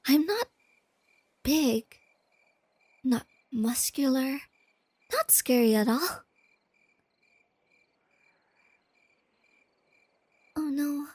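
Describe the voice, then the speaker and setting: A woman voicing a shy, nerdy young girlfriend in a soft-spoken ASMR roleplay, hesitant and tender, with a soft, breathy, often whispery delivery. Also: sits high, dry recording. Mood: bashful, doubting. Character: shy, nerdy, self-doubting young girlfriend, gentle and affectionate.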